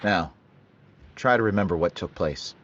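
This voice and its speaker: An actor playing a police detective in a 1940s-style radio drama, asking questions in a level, matter-of-fact way. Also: male voice, deep voice, moderate pace, a bit bright, husky, muffled recording. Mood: businesslike. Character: calm male police detective, businesslike.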